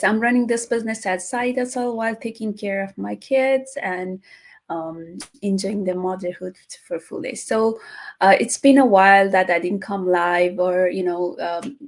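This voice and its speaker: A middle-aged woman from Nepal hosting a live online talk show, speaking haltingly with many fillers and restarts. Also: mid-range voice. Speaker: host.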